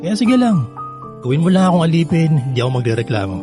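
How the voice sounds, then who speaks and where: An actor playing an earnest, lovestruck young suitor in a Filipino radio drama, pleading and sweet-talking with a Filipino accent. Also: male voice, fairly high pitch, sing-song, quick pace, over music. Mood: pleading, romantic.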